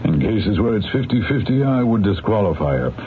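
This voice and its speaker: A shrewd defense attorney in a 1970s radio mystery. He is a deep-voiced, candid man with lively, wry delivery. The sound is tinny and noisy. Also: a little fast. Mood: explaining, knowing.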